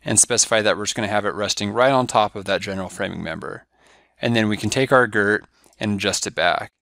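A man giving a step-by-step software tutorial over a screen recording, walking through each click in a steady, instructive way. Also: fairly low pitch, no room sound, clean studio sound. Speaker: software trainer.

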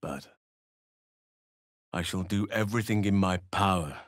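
A wise, aged male elf druid in a fantasy video game. His voice sits low and is recorded close and dry. He speaks formally and earnestly, with measured, protective gravity. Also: dry recording. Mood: solemn, hopeful. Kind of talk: video game character dialogue.

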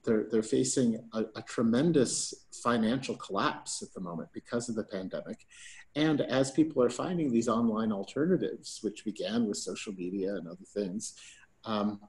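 Measured man speaking on a video call. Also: on the low side, darkish tone. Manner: measured, pausing with fillers. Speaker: panel speaker. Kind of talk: online panel discussion.

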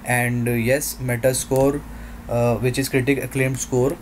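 A man narrating a software demo in halting, non-native English phrasing. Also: medium pitch, level tone, a little hiss or hum.

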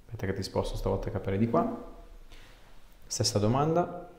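A man's voice: lowish voice, lively intonation, a little fast, gravelly, darkish tone. A man in his thirties, a chiropractor giving informal, encouraging instructions and chatting casually with a patient. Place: small indoor clinic treatment room. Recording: echoey small treatment room.

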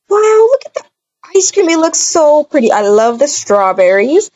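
A woman voicing an excitable, bubbly young woman in a game roleplay, gushing with breathy exclamations. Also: high-pitched, takes it slowly. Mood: amazed, delighted. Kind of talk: improvised video game roleplay. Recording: dull, muffled lo-fi recording.

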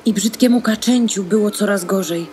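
A woman playing a sad, lonely young duckling in an audio drama, speaking in a plaintive, drawn-out way. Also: medium pitch, a little mellow, a little hiss or hum, natural voice. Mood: forlorn. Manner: plaintive, drawn-out, repeating words for emphasis.

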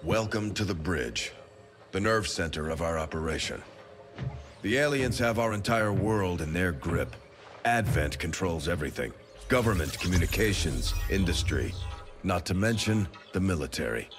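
An actor playing a grim, resolute male resistance officer in a video game, giving a measured, serious briefing. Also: sits low, animated. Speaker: game character. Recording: game audio with faint music.

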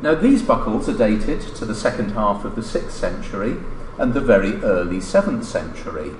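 A man reading a formal academic conference paper aloud in a measured, scholarly way, pausing mid-phrase. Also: fairly low pitch, sing-song. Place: indoor lecture hall, at a lectern. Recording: echoey lecture room, distant mic, background noise.

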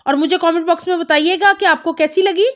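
A woman narrating a home cooking recipe step by step, calmly naming each ingredient and measure. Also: extremely high pitch, brisk. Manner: calm instructive, naming measures. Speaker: cooking channel host.

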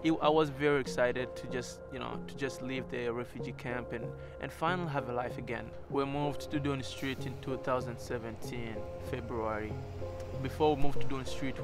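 A young man from Tanzania, recounting events in an outdoor interview, earnest and repeating words as he goes. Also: mid-range voice. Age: young adult. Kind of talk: personal account in interview. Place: outdoors in a snowy residential street.

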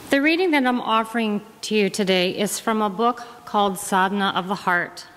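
A middle-aged woman, a guest minister, reading aloud to a congregation at a lectern, measured and deliberate, phrase by phrase. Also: medium pitch. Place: large wood-panelled church hall. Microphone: lectern gooseneck microphone. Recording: reverberant church hall.

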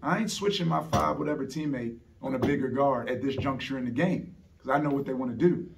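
A man's voice: mid-range voice, fairly quick, gravelly, a little hiss or hum. A middle-aged man, a basketball coach, answering postgame questions earnestly at a press conference, often repeating words as he restarts. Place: press conference room, seated at a table. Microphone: table microphone on a stand. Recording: heard from some distance.

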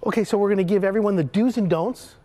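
A man's voice: fast, mellow. A middle-aged man, a home improvement expert, giving an upbeat product demonstration as a guest on a TV talk show, on a studio set with a lapel mic. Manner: upbeat, pitching and pointing at samples.

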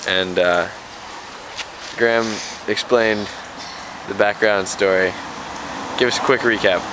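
A man's voice: lowish voice, fairly even intonation, slow, far from the mic. Chatty young man filming from a car. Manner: chatty, joking tour-guide narration. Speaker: vlogger narrating a road trip. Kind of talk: casual travel commentary. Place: inside a moving car. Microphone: handheld camera at arm's length. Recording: loud car noise, slightly off-mic.

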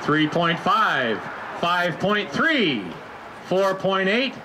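A man's voice: sits high, very animated, drawn-out words. A middle-aged man announcing a water ski show outdoors by a lake, interviewing skiers with folksy jokes over a handheld microphone. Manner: jokey, folksy banter. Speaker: event announcer. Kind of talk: live announcing and on-stage interview. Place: outdoor lakeside beach stage. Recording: PA voice with loud crowd and outdoor noise. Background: crowd and water noise.

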